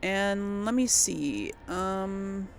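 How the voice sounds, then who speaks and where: A woman's voice: mid-range voice, very slow, creaky, a little hiss or hum. A woman hosting a gaming video, giving casual commentary and thinking aloud informally as she plays.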